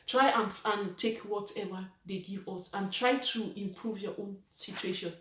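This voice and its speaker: A woman's voice: mid-range voice, normal speed, fairly bright tone, echoey room. A Nigerian woman talking to camera in a vlog, earnest and emphatic, repeating her points. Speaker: vlogger. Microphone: reads from a list below the camera.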